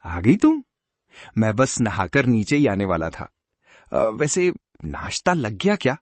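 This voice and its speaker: Man playing a husky, eager simple husband. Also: on the low side, wildly swooping pitch, measured pace, no room sound, exaggerated voice. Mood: eager, hungry. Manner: eager, innocent. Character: simple, food-loving, good-natured young husband. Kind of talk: cartoon story acting.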